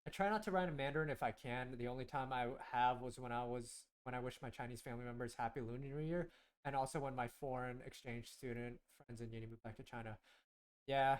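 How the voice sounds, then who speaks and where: A man's voice: mid-range voice, fast talker, clean tone, low-quality recording. A young man whose first language was Korean, raised in the United States, chatting casually with viewers while streaming a game, rambling and restarting his sentences. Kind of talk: casual gameplay stream chat, talking to chat.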